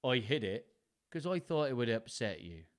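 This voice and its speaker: A man's voice: mid-range voice, sing-song, moderate pace, close to an everyday voice. A man voicing a treacherous, sly son of an earl in a storybook reading, gloating in his manner. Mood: feigned innocence. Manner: gloating, slyly innocent.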